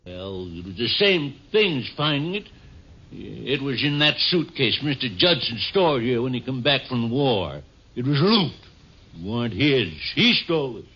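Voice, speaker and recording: An actor playing a crafty, uneducated crook in a 1950s radio drama, defensive and wheedling, with a folksy ungrammatical drawl. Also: male voice, medium pitch, sing-song, measured pace, husky, full character voice. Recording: dull vintage radio broadcast recording.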